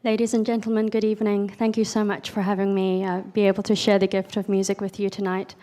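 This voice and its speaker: A woman raised in South Africa, a guest violinist giving brief formal remarks to an audience at a dinner. She speaks in a measured, gracious way. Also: medium pitch, level tone, mellow.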